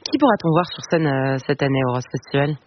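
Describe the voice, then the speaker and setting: A man's voice: a little high, lively intonation, fast talker, slight background noise. A man presenting a culture programme on the radio, reading scripted items and interviewing. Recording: dull radio sound over music bed. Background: music.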